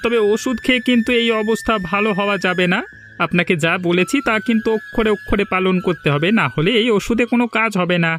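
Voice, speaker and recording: A man's voice: high-pitched, quick pace, a bit bright, slight background noise. A man voicing an earnest, cautioning village doctor in a cartoon. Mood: earnest, advising. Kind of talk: Bengali cartoon dialogue. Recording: music behind the voice.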